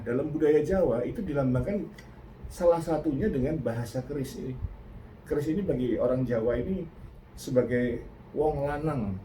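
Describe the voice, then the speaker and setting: An older Indonesian man giving a casual reaction talk, reflective and earnest, pausing and restarting often. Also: on the high side, dark tone, a little hiss or hum, low-quality recording. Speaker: host.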